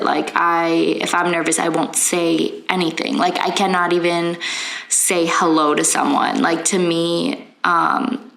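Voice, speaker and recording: Young woman podcast co-host, low-ish gravelly voice, reflective casual chat, echoey room. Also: fairly bright tone. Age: young adult.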